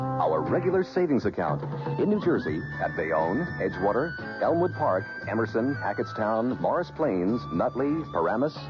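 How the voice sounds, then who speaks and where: A man reading a radio advertisement in a smooth, clipped, promotional way. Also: on the low side. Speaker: commercial announcer. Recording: muffled old radio broadcast.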